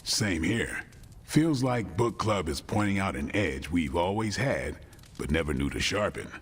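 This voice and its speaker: A man's voice: low-pitched, animated, a little slow, slightly rough, heavily acted. A man voicing a cool, terse fighter who leads a group in a video game, laconic and casual. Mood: cool, satisfied. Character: cool, terse male fighter and club leader. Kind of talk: video game cutscene acting. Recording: reverberant hall.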